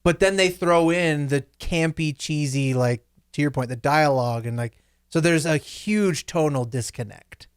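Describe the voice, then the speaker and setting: A man's voice: on the high side, a little slow, clear, resonant tone. A man chatting casually as a panelist on a film podcast. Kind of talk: casual chat.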